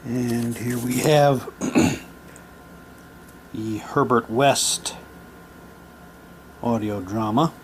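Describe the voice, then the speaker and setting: A man's voice: mid-range voice, steady tone, drawn-out words, long pauses. Man presenting casually over noticeable background noise. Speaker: presenter showing audio drama props. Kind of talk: casual show-and-tell, reading prop documents aloud.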